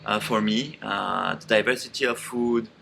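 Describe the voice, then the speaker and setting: A man in his forties with a French accent, answering quick questions on a tour of his company's office, with many fillers and restarts. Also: on the low side, steady tone, gravelly, sharp tone, slight background noise. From France. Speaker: company co-founder. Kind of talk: rapid-fire walking interview. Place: company office in Paris, indoors and terrace.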